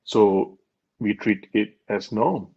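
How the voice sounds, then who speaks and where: A man's voice: fairly low pitch, slow pace, slightly rough. A man from Malaysia, a guest in an interview, explaining in an earnest way and laughing often. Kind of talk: conversational interview. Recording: dull lo-fi sound.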